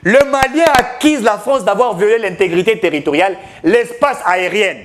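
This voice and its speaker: A man's voice: high voice, normal speed. A man giving emphatic, declamatory political commentary, mixing French with Lingala.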